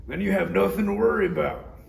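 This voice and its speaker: Man acting as old cook pal, heavy vocal fry, folksy, reassuring, roomy distant table read. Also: sits high, fast. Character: easygoing fatherly old alien diner cook friend. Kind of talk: live table read of a parody script. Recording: roomy distant recording with faint noise.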